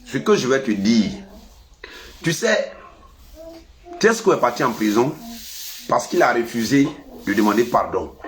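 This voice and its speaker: A man with an Ivorian French accent and a cold, talking casually to his followers in a lively, scolding way. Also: a little slow, long pauses, slight background noise. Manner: lively, scolding, animated pitch jumps. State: has a cold. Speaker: vlogger. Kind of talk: casual monologue to camera.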